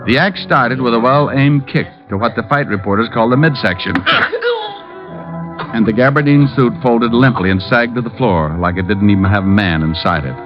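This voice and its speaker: A man's voice: medium pitch, normal speed, over music, close to an everyday voice. An actor playing a wry, self-mocking male private detective who narrates his case in 1940s radio style. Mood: wry, brisk. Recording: muffled old radio broadcast, musical backing.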